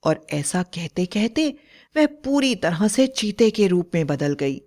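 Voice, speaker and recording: A woman's voice: medium pitch, big pitch swings, slow, darkish tone. A woman narrating a scripted horror fairy tale in a dramatic storytelling style.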